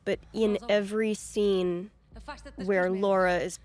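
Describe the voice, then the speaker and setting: A woman's voice: medium pitch, flat delivery, takes it slowly, a little mellow. A woman talking casually as a commentator on scenes and performances behind the scenes. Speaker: commentator on the game's scenes and acting.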